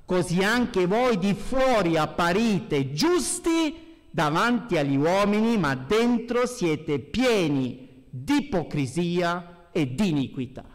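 A man's voice: high voice, big pitch swings, slow. A middle-aged man preaching a sermon as a pastor, with emphatic delivery, speaking at a lectern microphone in a church. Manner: emphatic, swinging between hushed and raised voice. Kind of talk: sermon with scripture readings. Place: church hall, indoors at a pulpit. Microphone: gooseneck lectern microphone. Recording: reverberant church hall.

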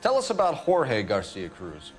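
An American actor playing an earnest, stern young police detective in a video game, with a clipped, interrogating manner. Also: male voice, medium pitch, huge pitch swings, moderate pace, music in the background. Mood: businesslike. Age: young adult.

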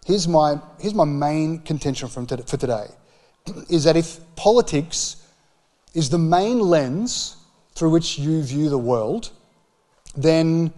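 A man in his forties with an Australian accent, preaching a conversational sermon into a handheld microphone with emphatic, deliberate phrasing. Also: a little high, mellow. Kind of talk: sermon, conversational public teaching. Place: church hall with stage.

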